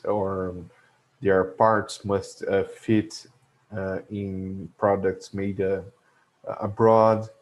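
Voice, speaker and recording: A man with a Brazilian Portuguese accent talks through a lesson at an unhurried, halting pace. His voice sits fairly low, and he pauses often to search for words. He chuckles now and then at his own remarks. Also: darkish tone. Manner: hesitant, many filler sounds and restarts, chuckles to himself. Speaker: logistics educator. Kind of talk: explanatory video lesson.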